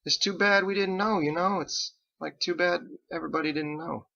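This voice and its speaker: A man voicing an earnest, naive, excitable sailor who talks in casual slang for an audiobook. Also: high voice, normal speed, gravelly, dull audio, pitched above the performer's own voice, full character voice. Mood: regretful. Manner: casual slang, raised and eager.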